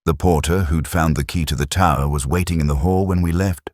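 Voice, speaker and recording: Man playing a very deep, brooding detective narrator. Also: steady tone, darkish tone, dry recording, studio quality. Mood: pensive, wary. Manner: measured, reflective, melancholic. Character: brooding, literary male police inspector narrating his case. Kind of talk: scripted first-person detective narration, audio drama.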